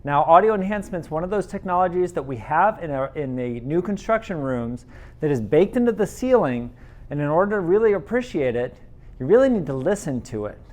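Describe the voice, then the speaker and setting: A man with a slightly higher voice explains classroom technology to teachers in an easy, conversational way. He speaks through a pendant microphone in a classroom. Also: big pitch swings, a little fast, a little mellow. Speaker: instructional technology trainer. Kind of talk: training presentation. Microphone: teardrop pendant microphone.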